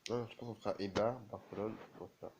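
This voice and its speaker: Male gamer with a cold, low monotone, casual halting play-by-play. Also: a little hiss or hum. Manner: halting, many fillers and restarts. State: has a cold, sneezing. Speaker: gaming video creator. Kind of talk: casual game commentary.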